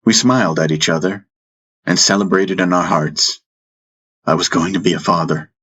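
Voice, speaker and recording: A man narrating a first-person fantasy audiobook in a measured way, with dramatic pauses. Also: deep voice, steady tone, fairly bright tone, husky, rough recording. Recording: music bed under the voice. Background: background music.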